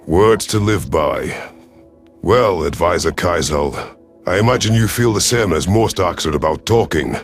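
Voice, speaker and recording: A man voicing a stern, shrewd alien predator chief in an audio drama, speaking in a formal, measured, authoritative way. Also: deep voice. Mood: shrewd, commanding. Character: commanding alien predator rebel leader, male, shrewd and stern. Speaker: military chief narrating his own story. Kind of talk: audio drama narration and dialogue. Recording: music behind the voice.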